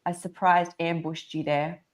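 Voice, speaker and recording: A woman from Australia hosting a live video call, bubbly and excitable, laughing often. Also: fairly low pitch, measured pace, noisy background. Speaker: host. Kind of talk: casual live-stream chat.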